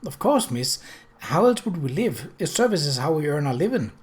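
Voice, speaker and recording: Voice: medium pitch, sing-song, moderate pace, natural voice. A narrator voicing a nervous, flustered young lady's maid who stammers deferentially in an audiobook story. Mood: flustered, earnest.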